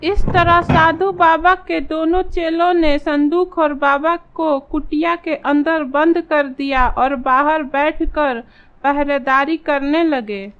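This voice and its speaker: High-pitched, slow woman storyteller. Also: fairly even intonation, a little hiss or hum. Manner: measured, storytelling. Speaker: story narrator. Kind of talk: scripted children's story narration in Hindi. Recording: slightly dull, lo-fi sound.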